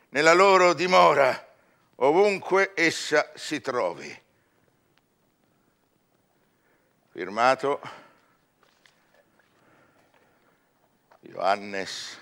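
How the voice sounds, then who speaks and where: An actor playing a proud, restless scholar in a theatrical verse radio drama, declamatory and swinging between hushed and loud delivery. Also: male voice, fairly high pitch, heavily acted. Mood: solemn.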